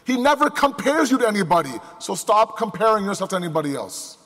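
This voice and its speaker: High-voiced male preacher in a reverberant hall. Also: big pitch swings, quick pace, fairly bright tone. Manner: emphatic, with rhetorical questions and repetition. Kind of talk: sermon to a live congregation. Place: large indoor hall before a crowd. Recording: echoey hall with long reverb.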